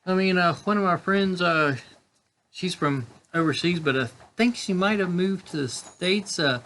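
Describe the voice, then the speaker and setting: A man with a slightly raspy voice with a country vibe, chatting casually and musing with many fillers while reviewing cigars. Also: high-pitched, measured pace, pushed and bright, slight background noise. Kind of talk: casual chat.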